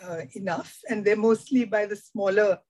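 A woman's voice: medium pitch, moderate pace, mellow. A woman moderating an online panel, reading out questions and adding brief asides between them.

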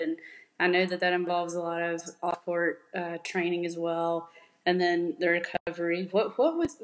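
A woman hosting an interview, asking long, rambling questions and giving encouraging replies. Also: fairly low pitch. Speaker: podcast host. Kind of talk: casual interview chat.

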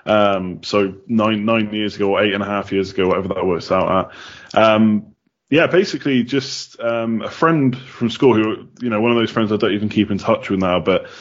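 Brief: fairly low male voice, chatty podcast guest from the UK, lo-fi dull audio. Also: normal speed. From United Kingdom. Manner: chatty, many ums and restarts. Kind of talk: casual interview answers.

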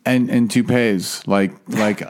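A man's voice: lowish voice, steady tone, slow pace. A man in his late thirties hosting a podcast, talking in a candid, joking way and swearing casually. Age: late 30s. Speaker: podcast host. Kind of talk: casual chat.

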